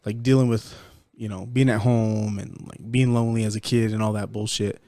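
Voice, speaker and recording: A man hosting a podcast, asking relaxed, supportive questions in casual conversation. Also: fairly low pitch, moderate pace, no echo, studio quality. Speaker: podcast host. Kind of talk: casual interview chat.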